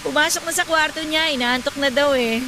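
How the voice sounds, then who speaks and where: Very high-voiced devoted younger daughter, played by an actress. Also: female voice, a little fast, music in the background, natural voice. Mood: casual, caring. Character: devoted, stubborn younger daughter in a Tagalog family drama. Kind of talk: radio drama acting.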